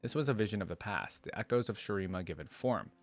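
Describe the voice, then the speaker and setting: Low-voiced man reading and reacting. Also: expressive, quick pace. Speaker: fan reaction video creator. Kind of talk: reading a fantasy story aloud with casual reaction asides.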